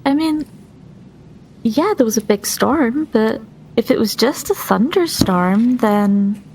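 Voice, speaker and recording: Female roleplay gamer, unhurried, with vocal fry, casual in-character talk, faint background noise. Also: a little high, lively intonation, darkish tone, low-quality recording. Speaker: tabletop game player.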